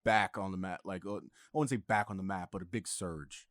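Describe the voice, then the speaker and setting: A man's voice: low voice, brisk, no room sound, clean studio sound. A man talking casually as a podcast guest, a bit hesitant as he speaks. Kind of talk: casual chat.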